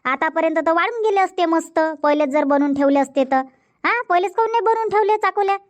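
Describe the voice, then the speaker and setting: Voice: very high-pitched, animated, fast talker. A performer voicing a stern, nagging old village grandmother in a cartoon comedy, speaking bluntly with a Varhadi Marathi accent. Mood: scolding. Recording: dull, muffled lo-fi audio.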